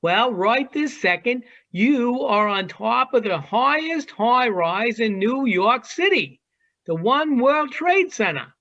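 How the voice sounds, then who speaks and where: A woman acting as a teasing, worldly adult seagull in an amateur virtual one-act play, with drawn-out delivery. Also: a little high, expressive, a bit bright, exaggerated voice. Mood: matter-of-fact. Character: teasing, worldly adult seagull perched high above the city. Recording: dull lo-fi video call audio.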